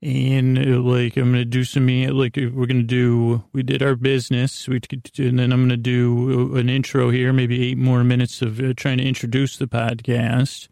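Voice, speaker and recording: A man hosting a bedtime podcast, rambling haltingly in a creaky, soothing voice and often trailing off mid-thought. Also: mid-range voice, monotone, mellow, no room sound, clean studio sound. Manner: soothing, lulling delivery. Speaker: podcast host.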